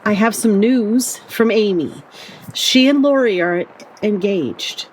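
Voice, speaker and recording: A woman playing a gentle, wise middle-aged mother in an audio play, speaking in a calm, measured, caring way. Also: mid-range voice, a little hiss or hum, rough recording. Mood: gentle news-breaking.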